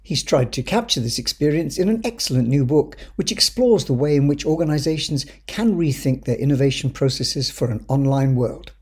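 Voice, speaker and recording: Man, podcast host, scripted intro, warm dark tone, husky. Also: on the high side, lively intonation, moderate pace, hardly any pauses.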